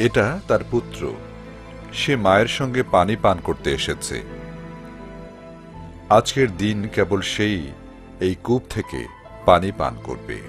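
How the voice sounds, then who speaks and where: Male narrator, low-pitched voice, scripted storytelling over prominent music. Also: big pitch swings, a little slow, long pauses.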